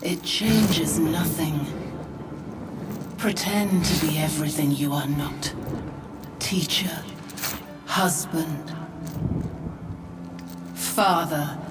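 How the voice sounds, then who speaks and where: A woman playing a calm, menacing spectral goddess in a game scene, speaking slowly and deliberately. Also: sits low. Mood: cold taunting. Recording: loud game music over distant voice.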